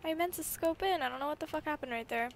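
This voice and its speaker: An eighteen-year-old woman telling a personal story casually over gameplay, rambling and animated, with frequent swearing. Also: high-pitched, fast, gravelly, a little hiss or hum. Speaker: gaming YouTuber.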